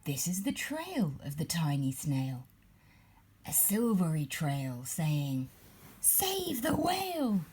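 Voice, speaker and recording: A woman reading a rhyming storybook aloud in a breathy, measured and expressive storytelling manner. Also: deep voice, background noise. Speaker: parent reading a bedtime story.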